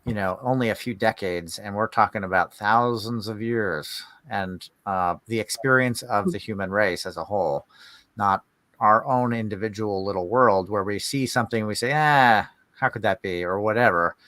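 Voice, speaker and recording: A man with a slightly low, bright voice hosts a conversational discussion. He muses in a halting way, searching for words as he goes. The recording is dry, with little room sound. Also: no echo. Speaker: podcast host.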